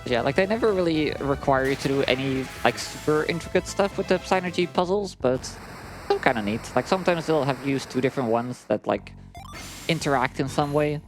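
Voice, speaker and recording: A man's voice: medium pitch, fairly quick, poor audio. A man chatting casually as a co-commentator on a video game run, in a loose, hesitant way full of "like". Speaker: co-commentator at a speedrun. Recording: game music behind the voice. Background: video game music.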